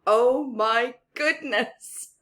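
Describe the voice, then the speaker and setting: Slow, expressive woman reading a children's story. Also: on the high side. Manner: expressive, giggly, explains simply to young children. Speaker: children's story-time reader. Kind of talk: read-aloud storytelling with explanatory asides to children.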